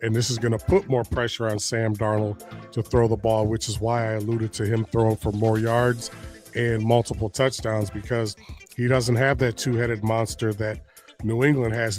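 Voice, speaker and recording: A man co-hosting a sports podcast in casual chat, sounding stuffy and congested from a cold, often repeating words while he thinks. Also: mid-range voice. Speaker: podcast co-host.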